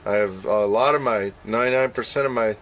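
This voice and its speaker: A man's voice: on the low side, fairly quick, a bit bright, a little hiss or hum. A man chatting casually on a radio show, rambling and making self-deprecating admissions. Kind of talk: casual on-air chat.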